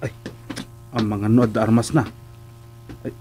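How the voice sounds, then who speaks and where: A man playing an excitable, fretful village sidekick in a comedic radio drama. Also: fairly low pitch, measured pace, a little hiss or hum, natural voice. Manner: exclaiming, fretful.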